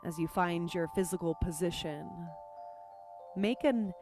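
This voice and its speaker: A woman leading a guided meditation as a teacher, speaking gently and unhurriedly with pauses between phrases. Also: lowish voice. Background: music.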